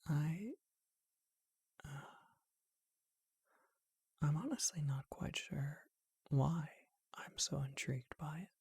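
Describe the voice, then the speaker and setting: A performer voicing a charming, courteous, bookish vampire shopkeeper in a breathy, husky whisper, with genteel and hesitant phrasing, for an ASMR roleplay. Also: medium pitch, darkish tone, dry recording, studio quality, heavily acted. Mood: wistful, reflective. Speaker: bookshop owner.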